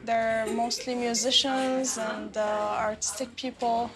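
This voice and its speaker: Relaxed young woman from Syria. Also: fairly high pitch, slow pace, a crowd in the background, rough recording. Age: young adult. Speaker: interviewee. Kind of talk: casual conversation. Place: indoors, cafe.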